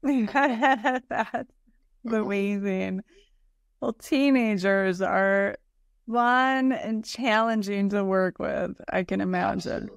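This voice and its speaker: Woman, middle-aged, podcast host, smooth with vocal fry, effusive, earnest, conversational, dry close mic. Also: medium pitch, very slow, no room sound. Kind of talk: conversational interview. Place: indoor room, video call. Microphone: desk microphone, close.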